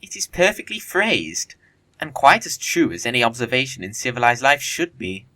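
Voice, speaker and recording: A man's voice: medium pitch, sing-song, normal speed, bright, forceful tone. A man playing a witty young dandy in a period comedy audio play, breezy and arch in manner. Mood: smugly witty.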